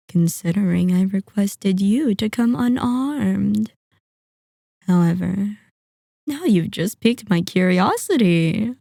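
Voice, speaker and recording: Woman as a slow, silky, sadistic vampire lady. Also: mid-range voice, creaky, warm tone, no echo, clean studio sound. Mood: teasing curiosity. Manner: silky, teasing, mock-polite, drawn-out menacing delivery. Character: sadistic, possessive female vampire lord toying with captive hunter. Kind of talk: ASMR roleplay acting.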